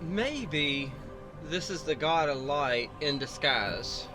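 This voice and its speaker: A man's voice: a little high, expressive, takes it slowly, over music. A man giving casual reaction commentary as a video host, musing and hesitant, trailing off.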